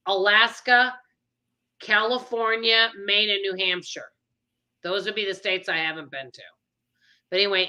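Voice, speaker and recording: A woman with a Minnesota accent hosts a casual live stream, chatting in an upbeat, rambling way. Her voice is bright and a little high. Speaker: channel host.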